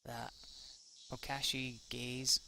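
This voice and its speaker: Male let's-play reader, forceful and creaky, stumbling narration with sweary asides, faint noise and insect-like ambience. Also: mid-range voice, level tone. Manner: halting, stumbles over words, sweary asides. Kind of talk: reading visual novel narration aloud.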